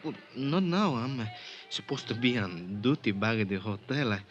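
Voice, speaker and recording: An actor playing a deferential, money-minded Mexican bellboy informant with a Spanish accent and halting, formal English in a 1950s radio drama. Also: male voice, medium pitch, animated, a bit bright, muffled recording, soft background music, lightly acted. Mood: hesitant. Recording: noisy, band-limited vintage radio recording.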